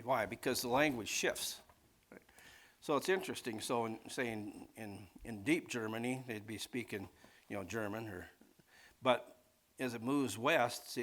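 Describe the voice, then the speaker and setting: A man teaches like a preacher leading an informal Bible class. His voice sits at an ordinary middle pitch. He rambles along conversationally without a script, regularly checking that listeners follow. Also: a bit bright. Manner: rambling, conversational, often checking in with "okay?", "see" and "right?". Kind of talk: informal teaching sermon, off the cuff.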